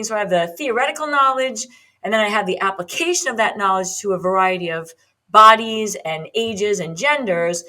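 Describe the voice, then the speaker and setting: A woman's voice: medium pitch, fairly bright tone. A woman in her late fifties hosting a podcast as a fitness trainer, talking in a rambling, chatty way with frequent ums and restarts. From United States. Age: 50s. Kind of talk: solo podcast talk.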